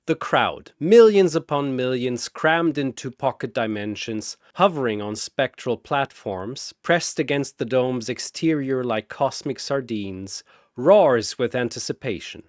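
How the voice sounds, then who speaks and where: A male narrator delivers dramatic fantasy battle prose in a dry, close recording. His phrasing is slow and deliberate, with theatrical, widely moving intonation. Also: mid-range voice, lively intonation, dry recording.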